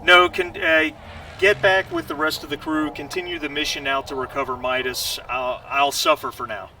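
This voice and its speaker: A man's voice: on the high side, fairly even intonation, moderate pace, gravelly, pushed and bright, far from the mic, slight background noise, full character voice. A man voicing a harried, put-upon male station administrator in a tabletop game. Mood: harried, resigned.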